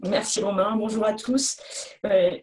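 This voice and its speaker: A woman's voice: medium pitch, fairly even intonation, measured pace, a little mellow, faint music behind, low-quality recording. A woman, a local elected official, giving informal remarks as a panellist in a webinar.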